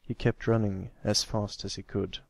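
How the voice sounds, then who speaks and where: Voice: low voice, flat delivery, moderate pace, gravelly, no echo. An amateur reader giving a scripted narration of a story.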